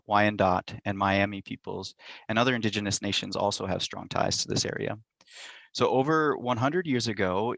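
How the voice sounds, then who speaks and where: Measured male lecturer, low dry voice. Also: fairly bright tone, no room sound. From Montana, United States. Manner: measured, thoughtful, with frequent ums and uhs. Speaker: university lecturer. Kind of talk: academic presentation over video call. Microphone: computer mic on a video call.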